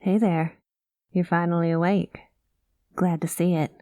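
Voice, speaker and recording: A woman voicing a kind, easygoing space cowgirl pilot in an ASMR roleplay, talking gently in a folksy cowboy manner. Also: on the low side, flat delivery, measured pace, no room sound, studio quality, close to an everyday voice. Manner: folksy drawling cowboy talk, calls listener partner; gentle and soothing.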